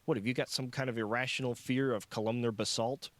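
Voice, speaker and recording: A man's voice: medium pitch, fairly even intonation, fast, no echo, voice pushed up, close to an everyday voice. A man voicing an arrogant, sarcastic middle-aged male scientist in audiobook dialogue, condescending and curt. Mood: irritated, snide.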